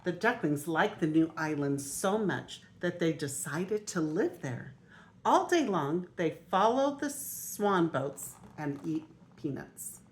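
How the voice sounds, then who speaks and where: An older woman, a grandmother, reading a children's picture book aloud in an expressive way. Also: fairly low pitch, slow pace, a little hiss or hum. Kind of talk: storybook read-aloud.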